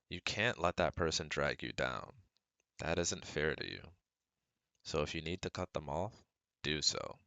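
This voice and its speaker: A man's voice: a bass voice, gravelly, pushed and bright, no room sound, exaggerated voice. A man playing a caring, protective best friend who gives firm, earnest advice straight to the listener in an ASMR roleplay. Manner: soothing, earnest, instructive, speaking directly to listener.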